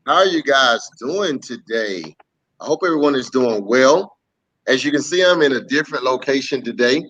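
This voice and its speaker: A man's voice: medium pitch, expressive, moderate pace, fairly bright tone, slight background noise. A man casually hosting a livestreamed children's story time, greeting viewers and repeating himself as he goes. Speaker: host, pastor. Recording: dull lo-fi webcam stream.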